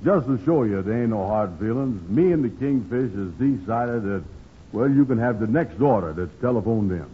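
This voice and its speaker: An actor playing a gullible, easily flustered sidekick in an old radio comedy, grumbling in folksy dialect grammar. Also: male voice, medium pitch, expressive, moderate pace, husky. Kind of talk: 1940s-50s radio comedy acting. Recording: muffled, hissy old radio recording.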